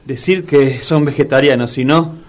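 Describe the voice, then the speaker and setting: An actor playing a worried, gullible, easily scared friend in a comic audio drama, pleading and exclaiming with an Argentine Rioplatense accent. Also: male voice, mid-range voice, moderate pace, a little hiss or hum, close to an everyday voice. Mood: frightened.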